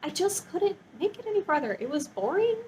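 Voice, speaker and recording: A woman's voice: extremely high pitch, very animated, a little fast, darkish tone, slight background noise. A woman talking in a casual, chatty vlog style, with an enthusiastic, gushing and informal manner. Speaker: book review vlogger.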